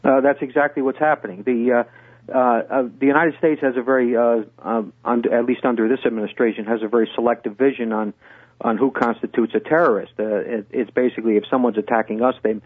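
An older man, a guest on a radio interview, speaking in a measured way with many hesitations and restarts. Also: mid-range voice, steady tone, dry recording. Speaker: guest, former intelligence officer. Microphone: phone call. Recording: tinny phone line.

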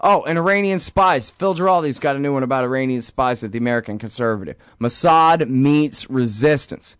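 A man's voice: mid-range voice, brisk, clean tone, dry recording. Guy hosting a political talk show, sarcastic rant, dry close sound. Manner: sarcastic, ranting, animated. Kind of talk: political radio commentary.

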